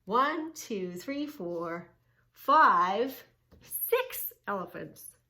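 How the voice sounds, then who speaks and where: A woman hosting a children's yoga read-along, teaching in an emphatic, sing-song way with drawn-out key words and questions. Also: medium pitch, big pitch swings, bright, forceful tone. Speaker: children's yoga story-time host. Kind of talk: read-along lesson for young children, narrating and instructing.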